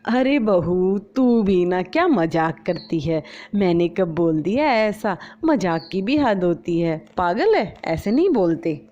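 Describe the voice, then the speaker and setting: A woman's voice: fairly low pitch, animated, takes it slowly, dark tone. A woman voicing an exasperated, scolding middle-aged mother-in-law in a storybook. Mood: sweetly put-on. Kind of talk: storybook voice acting. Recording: slightly dull lo-fi recording.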